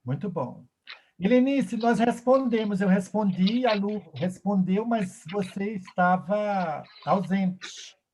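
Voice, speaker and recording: High-voiced man moderating. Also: animated, slow pace, darkish tone. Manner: reflective, hesitant, repeats words. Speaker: moderator, professor. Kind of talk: moderating an online discussion.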